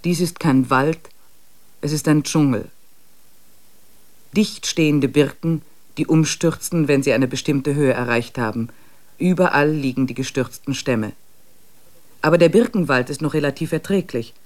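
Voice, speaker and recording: A man narrating a wilderness expedition diary in a radio drama, measured and matter-of-fact. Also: fairly high pitch.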